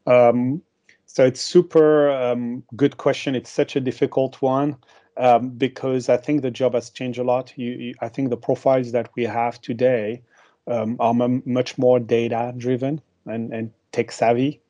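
A man's voice: medium pitch, flat delivery, measured pace, mellow, muffled recording. A man with a French accent, a marketing executive answering interview questions with many hesitations and restarts.

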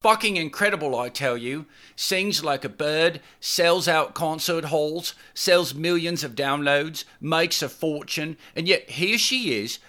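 A man voicing an excitable, bossy young woman who scolds and coaxes her boyfriend in an audiobook. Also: on the high side, voice pushed up. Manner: exclaiming, nagging, slangy.

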